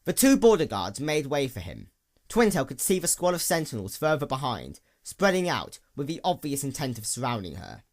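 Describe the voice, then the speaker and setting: A man reads fiction aloud as a narrator. His mid-pitched voice moves through a very lively range, and his delivery is deliberate and dramatic.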